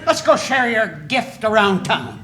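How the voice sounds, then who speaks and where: A man's voice: high-pitched, animated, unhurried, a bit bright. A man playing a grouchy older cartoon man who delights in complaining, with room noise behind. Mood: gleeful. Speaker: actor at a table read. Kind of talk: live comedy script read.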